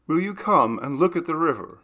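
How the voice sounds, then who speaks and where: A man reading aloud, voicing an amused, courteous young gentleman in a ghost story. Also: a little high, big pitch swings, brisk, voice pushed up, exaggerated voice. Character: young gentleman host, Paul, amused and mysterious.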